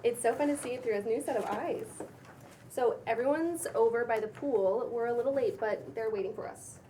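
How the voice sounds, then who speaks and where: Woman as enthusiastic housemate, higher voice, colloquial, breezy, distant mic, background noise, script read. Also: brisk, mellow, lightly acted. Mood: bubbly. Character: bubbly, enthusiastic housemate with infectious laugh. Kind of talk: table read acting of a pilot script.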